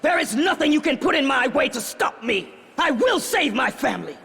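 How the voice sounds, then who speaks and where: An actor playing a tormented, vengeful Spartan warrior in a video game, intense, brooding and defiant. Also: male voice, very high voice, very animated, normal speed, vocal fry, loud music behind the voice, exaggerated voice. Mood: desperate fury. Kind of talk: dramatic video game acting.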